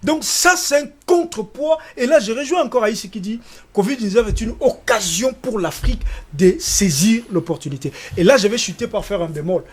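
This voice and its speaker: A man's voice: high-pitched, moderate pace, breathy edge. A man from Congo speaking as a panelist in a televised debate, stumbling over repeated words as he argues. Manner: hesitant, repeats words, animated.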